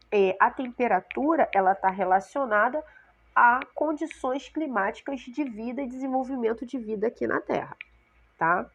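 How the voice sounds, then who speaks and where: A woman's voice: fairly high pitch, muffled recording. A woman with a Brazilian Portuguese accent teaching a science lesson to sixth graders, congested from a cold and often checking in with her class. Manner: explanatory, checks in with frequent "tá?" and "né?".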